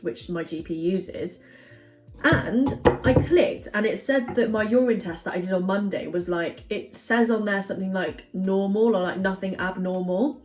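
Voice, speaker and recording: A woman's voice: mid-range voice, low-quality recording. A young woman vlogging in her home kitchen, chatting casually and rambling with lots of fillers. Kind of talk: casual chat to camera.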